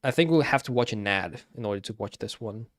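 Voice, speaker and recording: A man giving casual livestream commentary, halting and repeating his phrases as he thinks out loud. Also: lowish voice, animated. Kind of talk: casual livestream commentary, ranking game cutscenes.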